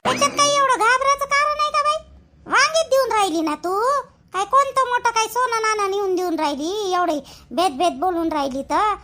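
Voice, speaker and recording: A woman voicing a brisk, bossy but generous village mother-in-law in a family cartoon, insistent, with a Varhadi Marathi accent. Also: extremely high pitch, pushed and bright. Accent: Varhadi Marathi (Vidarbha). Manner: questioning, insistent. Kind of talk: animated family drama acting.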